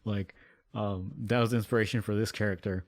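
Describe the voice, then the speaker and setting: A man with a low voice talks casually about how he made his video, rambling with plenty of fillers. The sound is dry and close. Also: steady tone, a little fast, no echo. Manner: rambling, many fillers, laughs easily. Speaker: musician and video maker.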